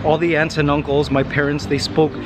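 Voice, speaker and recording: Canadian-raised guy vlogging on the street, kinda high voice, laughs a lot, music loud under him. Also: flat delivery, brisk. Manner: chatty, laughs often, talks to his parrot. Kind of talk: casual walking vlog. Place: outdoors on a city street. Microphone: handheld camera at arm's length. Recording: loud music bed over the voice.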